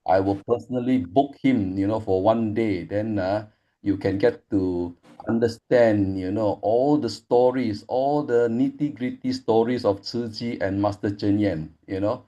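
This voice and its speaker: A man's voice: on the low side, a little slow, highs cut off. A man moderating an online study group over a video call, talking casually with many "you know" fillers. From Malaysia. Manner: frequent "you know" fillers, warm thanking.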